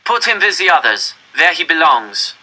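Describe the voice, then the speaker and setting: A man's voice: a little high, very animated, moderate pace, sharp tone, pitched above the performer's own voice, heavily acted, low-quality recording. A man playing a stern, cruel Nazi officer who gives merciless commands in a storybook reading. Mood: cold command.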